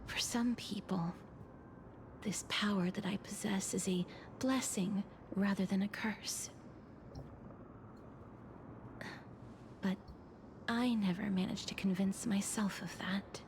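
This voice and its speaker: An actress voicing a lonely, solemn, millennium-old woman of great power in a soft, breathy, measured game performance. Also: medium pitch, steady tone, close to an everyday voice. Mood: gentle sorrow. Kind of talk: dramatic video game voice acting. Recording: game audio with clear background noise.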